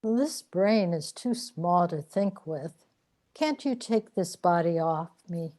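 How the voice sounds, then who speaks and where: A woman playing a weary, complaining wanderer in a radio play, plaintive and deadpan. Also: lowish voice, takes it slowly. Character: weary, complaining wanderer trapped in a too-tight body.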